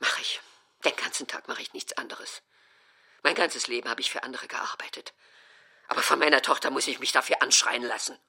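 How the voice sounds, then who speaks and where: A woman's voice: extremely low pitch, steady tone, a little fast, vocal fry, bright, forceful tone, full character voice. An actress playing a stubborn, easily offended older mother who complains dramatically in an audio drama. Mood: aggrieved. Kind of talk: acted German audio drama dialogue.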